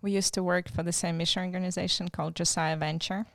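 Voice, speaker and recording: A woman's voice: on the low side, level tone, quick pace, creaky, no room sound. A woman in her thirties with a Ukrainian accent, speaking as an interview guest, thoughtful and hesitant with many fillers. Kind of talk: casual interview.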